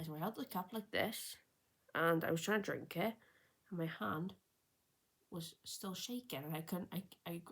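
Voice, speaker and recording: Young woman rambling, low husky voice. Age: 20s. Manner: rambling, with many restarts and self-corrections. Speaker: vlogger. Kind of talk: casual unscripted storytelling. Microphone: camera set a little far away.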